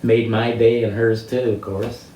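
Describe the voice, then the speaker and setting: An elderly man with an American accent reminisces about his life in a halting, reflective way, often searching for words. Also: fairly low pitch, level tone, mellow, highs cut off. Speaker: interviewee sharing life stories. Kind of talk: reminiscing personal storytelling. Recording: roomy, distant mic with faint noise.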